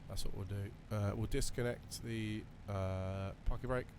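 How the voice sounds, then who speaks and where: A man with a lowish, slightly croaky voice talks casually through his simulator checklist, thinking aloud and filling gaps with ums and uhs. A steady cockpit engine drone sits clearly behind him. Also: unhurried. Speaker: flight sim video creator. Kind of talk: relaxed running commentary while flying a simulator. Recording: voice over loud simulator cockpit noise.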